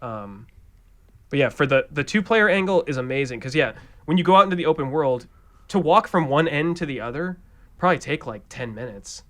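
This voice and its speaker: A man's voice: on the high side, animated, brisk, fairly bright tone. A man co-hosting a podcast, chatting casually and rambling, swearing and restarting his sentences as he goes. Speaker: podcast co-host. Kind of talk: casual chat.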